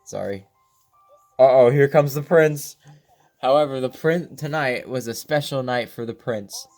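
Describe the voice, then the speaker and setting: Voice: mid-range voice, measured pace, darkish tone, faint music behind. A gaming video host reading a game's story text aloud, often stumbling over words and rereading them, with casual reactions.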